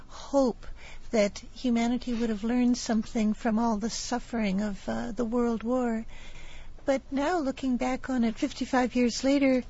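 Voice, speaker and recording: A woman's voice: fairly high pitch, dark tone. A woman speaking as a guest on a discussion panel, thoughtful and questioning. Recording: dull lo-fi broadcast recording.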